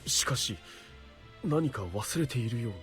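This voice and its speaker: A man's voice: mid-range voice, animated, a little fast, a bit bright, soft background music, lightly acted. A man voicing a stern, earnest young knight in a Japanese visual novel, speaking formally as he mutters to himself. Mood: intrigued.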